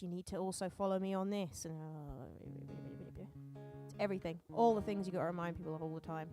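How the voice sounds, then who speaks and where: A woman's voice: medium pitch, a little mellow. A woman musician chatting casually to viewers on her live stream, rambling a little and correcting herself as she thinks aloud. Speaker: streamer and singer-songwriter.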